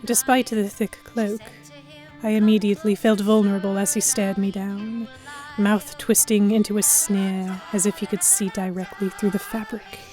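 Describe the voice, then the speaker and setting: A woman's voice: medium pitch, little pitch movement, normal speed, dark tone, faint music behind. A woman reading scripted first-person narration for an audio drama.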